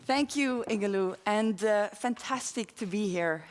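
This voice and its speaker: A woman in her forties from London and the Netherlands giving a talk to an online audience, pausing often as she speaks. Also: medium pitch, a little hiss or hum. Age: 40s. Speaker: guest speaker. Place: studio with very few people present. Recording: reverberant studio hall.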